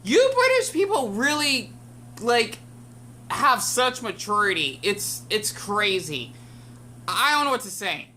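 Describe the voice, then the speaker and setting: A woman's voice: fairly high pitch, sing-song, slow, sharp tone, a little hiss or hum. An American woman giving rambling casual commentary as a YouTube reactor, full of fillers and restarts. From United States.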